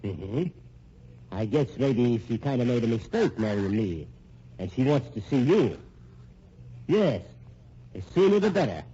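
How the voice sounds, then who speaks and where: An actor playing a vengeful, folksy old rich American husband in a vintage radio drama, hesitant, rambling and slyly menacing. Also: male voice, medium pitch, big pitch swings, unhurried, long pauses, mellow, husky. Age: older. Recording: muffled, noisy old radio recording.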